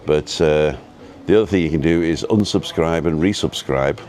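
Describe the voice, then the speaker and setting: Very deep-voiced man, chatty live vlogger. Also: darkish tone, slight background noise. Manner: easygoing, chatty, halting as he reads and answers viewer comments. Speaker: live-streaming vlogger and host. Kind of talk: casual rambling live vlog chat. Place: outdoors at a restaurant overlooking the road and ocean.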